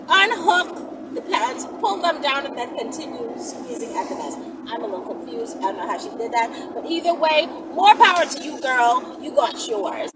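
A high-voiced woman in her thirties chats like a vlogger telling stories, blunt and slangy. Her pitch swings widely as she goes. She is recorded inside a moving car with loud road noise around her. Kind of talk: casual storytelling chat to camera. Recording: distant mic, loud vehicle noise. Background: loud road and vehicle noise.

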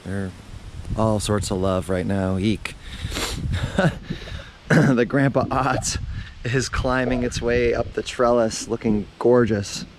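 A man in his thirties, hoarse after losing his voice, hosting a relaxed garden tour outdoors with a lapel mic, talking casually with lots of fillers. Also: medium pitch, slow, long pauses, a little hiss or hum. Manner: chatty, relaxed, with many fillers. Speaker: gardening channel host.

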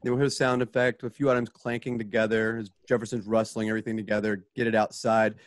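A man's voice: fairly low pitch, little pitch movement, fast talker, dry recording, clean studio sound. A man narrating stage directions for a radio play in a casual, explanatory style.

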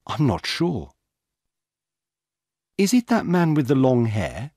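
A man's voice: medium pitch, huge pitch swings, slow, darkish tone, slightly rough, no echo, clean studio sound, pitched above the performer's own voice, exaggerated voice. A man voicing an alert, observant woman police officer in a storybook, speaking emphatically as if pointing things out. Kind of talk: audiobook character dialogue.

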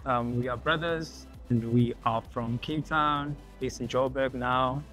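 A man's voice: medium pitch, steady tone, unhurried, fairly bright tone, faint music behind. A man from South Africa, a contestant speaking confidently about his design plans. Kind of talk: interview.

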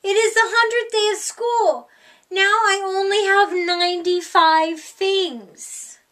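A woman's voice: very high voice, steady tone, slow pace, fairly bright tone, pitched above the performer's own voice, heavily acted. A woman voicing an earnest young male dog from a picture book, speaking emphatically. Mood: upset, pleading.

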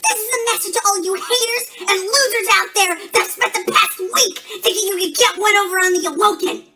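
A woman's voice: high-pitched, big pitch swings, measured pace, over music. A woman playing a smug, unhinged, conspiracy-minded villain who taunts rivals in a theatrical, melodramatic wrestling promo. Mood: sneering.

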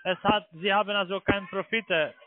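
High-voiced foreign-accented man on tinny phone. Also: fairly even intonation, a little fast, no room sound. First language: not German. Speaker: call-centre phone caller. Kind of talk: phone sales pitch. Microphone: telephone. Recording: tinny narrow phone line.

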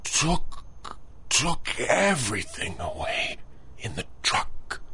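An actor playing a dying shop owner, gasping weakly in halting, broken phrases in a radio drama. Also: male voice, medium pitch, very animated, sharp tone, heavily acted. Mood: weak, pained. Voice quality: raspy, breathy. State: weak, gasping. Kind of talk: 1930s-style radio drama acting.

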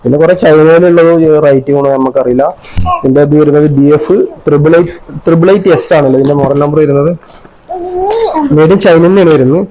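A man's voice: a little high, brisk, warm tone. A man with a Kerala Malayalam accent chatting casually as he hosts an unboxing vlog, explaining things informally step by step. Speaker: vlogger. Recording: noisy amateur vlog audio with clicks.

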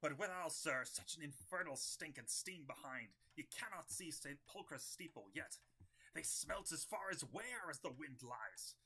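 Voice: medium pitch, unhurried, husky, full character voice. An amateur actor playing an agitated prison keeper giving urgent news in an early modern comedy audio drama. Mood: alarmed. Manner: urgent, excitable.

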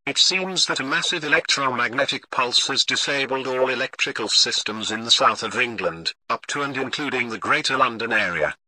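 Man playing calm television emergency announcer, formal, measured scripted bulletin, sharp bright tone, very dry sound. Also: mid-range voice, dry recording, poor audio. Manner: formal, measured, read-out delivery.

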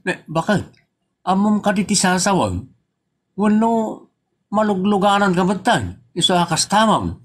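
A man's voice: high-pitched, sing-song, normal speed. A man playing a worried young husband in a comedy drama, questioning insistently with an Ilocano accent.

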